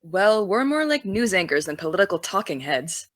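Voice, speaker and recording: A young actress playing an earnest high school girl who wants to be a journalist, defensive and dry. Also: big pitch swings, normal speed, a bit bright, dry recording. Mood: pleading. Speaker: student podcast host. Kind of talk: audio drama.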